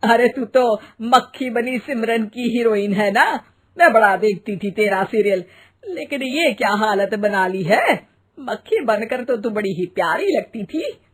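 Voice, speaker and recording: A woman's voice: fairly high pitch, expressive, a little slow, breathy edge. A woman voicing a blunt, scolding elderly Indian mother-in-law in a comic cartoon story, exclaiming with sarcasm and drama. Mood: nosy, blunt.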